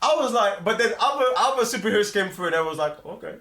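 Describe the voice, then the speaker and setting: A young man with a London accent in casual, slangy film chat, excitable and given to repeating his phrases. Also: high voice, fast. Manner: excitable, repetitive. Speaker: movie review podcast co-host. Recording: echoey room with faint background noise.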